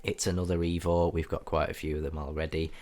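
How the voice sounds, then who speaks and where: A man with a notably low-pitched voice, a touch croaky from a cold. He narrates gameplay in a chatty, upbeat, explanatory way, like a gaming channel host talking straight to his viewers. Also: flat delivery, fast talker, darkish tone. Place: voiceover recorded apart from the gameplay.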